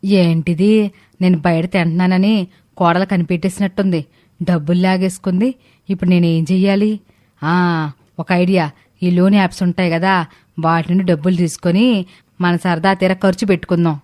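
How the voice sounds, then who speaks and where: A woman's voice: fairly low pitch, steady tone, normal speed, long pauses, a little mellow, lightly acted. Woman acting a sly, gluttonous older mother-in-law. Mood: scheming. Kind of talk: animated moral story dialogue.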